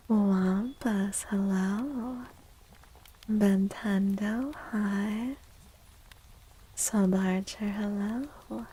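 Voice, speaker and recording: Soft, breathy, slow-talking woman doing ASMR. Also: mid-range voice, poor audio. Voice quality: soft, breathy, often slipping into whisper. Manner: slow, gentle, drawn-out words. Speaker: livestream host. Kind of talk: soft-spoken ASMR livestream chat.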